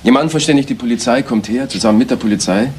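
A man's voice: mid-range voice, fast, a little mellow, a little hiss or hum, lightly acted. A man playing a matter-of-fact police detective in a German crime radio play, on an old recording.

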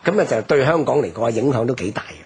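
Husky, animated man explaining in Hong Kong Cantonese. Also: medium pitch, quick pace. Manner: explanatory, emphatic, frequent rhetorical tags. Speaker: history commentator, programme guest. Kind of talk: unscripted radio history explanation.

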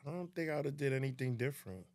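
A man's voice: mid-range voice, very fast, a little mellow. A man in his fifties speaking casually and emphatically as a podcast guest, repeating himself and checking that he is understood. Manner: emphatic, repeats himself, often asks "you know what I mean". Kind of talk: casual interview chat. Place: small indoor podcast studio. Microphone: studio mic on boom arm.

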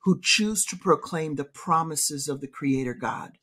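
A woman's voice: low-pitched, animated, measured pace, a bit bright, husky. A middle-aged woman, a professor, speaking emphatically and in a preacherly way in a casual theological discussion, recorded over a video call from a home office. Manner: emphatic, preacherly, gestures while talking. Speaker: professor and podcast co-host. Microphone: video call webcam.

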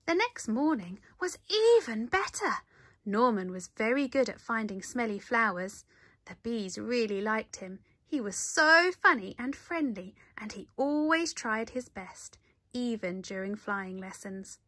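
A woman reading a children's story aloud in an animated way, with dramatic emphasis. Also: on the high side, measured pace, dry recording, clean studio sound. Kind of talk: expressive picture-book read-aloud.

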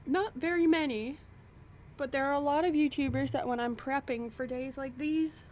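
A fairly high-voiced woman rambles cheerfully at her desk mic, chatty and self-deprecating as she plays. She mutters to herself while sorting through her things. Faint hiss sits under the voice. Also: fairly even intonation, normal speed, a little mellow. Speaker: YouTuber playing a block-building game. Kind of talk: casual gameplay chatter to the camera. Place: home desk. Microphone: desk microphone at a computer.

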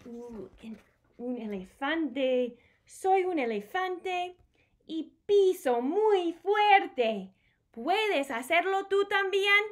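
A woman in her thirties reading aloud to young children in an animated way, with simple repeated phrases. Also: extremely high pitch, very slow. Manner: animated, simple repeated phrases, switches between Spanish and English. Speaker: children's storytime host. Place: small home room with bookshelves.

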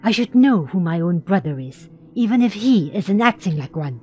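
A woman's voice: medium pitch, lively intonation, normal speed, darkish tone, faint music behind, natural voice. A woman playing a hard, hot-tempered crime-family heiress in a comic book fan dub, commanding and scornful. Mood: scornful, angry.

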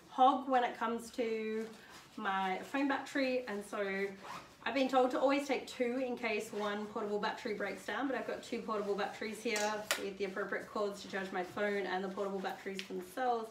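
Chatty woman, roomy distant mic. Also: medium pitch. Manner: chatty, upbeat, many "um"s, holds up items while talking. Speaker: hiker presenting her gear list. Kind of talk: casual gear walkthrough. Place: living room at home, indoors. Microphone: camera standing a few metres away. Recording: roomy, distant camera mic, faint hiss.

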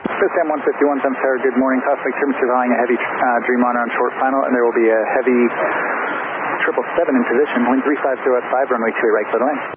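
A man's voice: medium pitch, steady tone, racing. A man giving clipped procedural instructions as an air traffic controller over aviation radio. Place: airport control tower radio. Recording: noisy, tinny aviation radio transmission.

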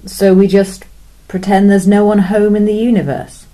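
A skeptical alien official, voiced by a woman, with a warm, mellow tone at a middle pitch. She questions everything with wry incredulity, in a science fiction story read aloud. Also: little pitch movement, moderate pace, close to an everyday voice, rough recording. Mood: incredulous.

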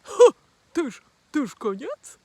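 A woman's voice: high-pitched, huge pitch swings, slow pace, dark tone, no room sound, voice pushed up, exaggerated voice. A woman voicing Fućek, a curious little male companion who eagerly asks questions.

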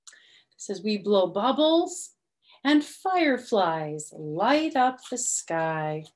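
A middle-aged woman reads a children's picture book aloud slowly and expressively, adding chatty, simple explanations for young children. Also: medium pitch, big pitch swings. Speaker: storytime reader. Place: small room at home, indoors.

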